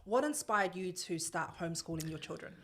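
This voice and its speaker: A woman's voice: on the low side, expressive, a little fast, fairly bright tone, slight background noise. Chatty, laughing woman podcast host. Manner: chatty and enthusiastic, laughs often. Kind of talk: casual podcast interview. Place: studio.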